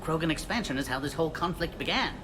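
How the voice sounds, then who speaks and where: An actor playing a brainy, candid alien scientist in a video game, clipped and matter-of-fact. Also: male voice, on the high side, animated, quick pace, noisy background, close to an everyday voice. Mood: objecting. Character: brisk, brainy male Salarian alien scientist, dry and candid.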